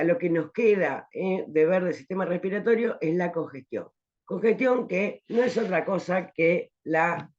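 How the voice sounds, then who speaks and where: A woman's voice: lowish voice, a little mellow, muffled recording. A woman teaching an online pharmacology class, explaining with rhetorical questions and tagging her points with "¿eh?".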